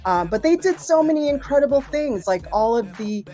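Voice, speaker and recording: A woman's voice: on the high side, lively intonation, normal speed, warm tone. A woman college professor chatting as a guest on a live show, talking freely with lots of fillers and asking the audience questions. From Boston area, USA. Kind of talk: casual live interview chat.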